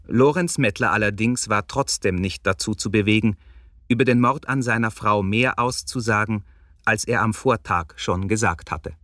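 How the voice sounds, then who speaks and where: A male narrator of a radio drama, reading scripted prose. His voice is on the low side, recorded close and dry with no room sound. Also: fairly bright tone, dry recording.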